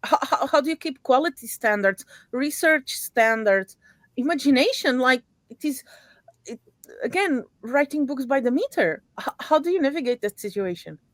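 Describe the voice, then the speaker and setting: A woman hosts an interview in English, her second language, and she pauses and repeats words as she feels her way through each sentence. Her voice is dry and close, with no room sound around it. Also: high-pitched, lively intonation, no room sound. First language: not English. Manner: halting, repeats words, non-native phrasing. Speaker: interview host. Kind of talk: casual interview chat.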